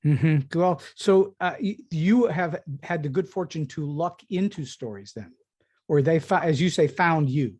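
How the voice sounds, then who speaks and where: A man's voice: fairly high pitch, unhurried, darkish tone. A man hosting a podcast, asking conversational questions and often repeating words as he frames them. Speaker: podcast host interviewing a guest.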